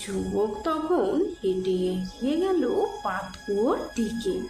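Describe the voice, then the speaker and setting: Slow, lively woman storyteller. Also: mid-range voice. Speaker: narrator. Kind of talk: scripted storybook narration for a cartoon. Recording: echoey voice under loud music.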